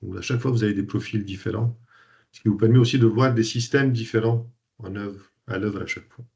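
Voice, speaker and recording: Male coach from Lebanon, lowish voice, hesitant presenter style, muffled call audio. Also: steady tone, brisk, creaky, darkish tone. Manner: hesitant, many fillers and restarts. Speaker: coach and trainer hosting a webinar. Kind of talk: informal presentation to an online group. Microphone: video call. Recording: dull lo-fi video call audio.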